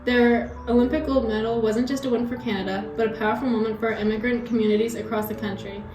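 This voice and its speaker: A young woman playing an earnest male 1920s hockey coach, reading scripted answers in a solemn, deliberate way. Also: fairly high pitch, fairly even intonation, lightly acted. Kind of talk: scripted mock interview answers. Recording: echoey room, music behind.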